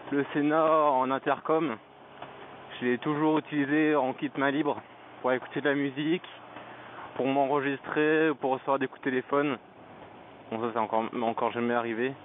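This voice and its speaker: A man giving a casual, unscripted product review as a vlogger, hesitant and often searching for words, speaking into a helmet headset microphone. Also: mid-range voice. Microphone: Bluetooth motorcycle helmet headset microphone. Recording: tinny narrow-band helmet intercom mic, background noise.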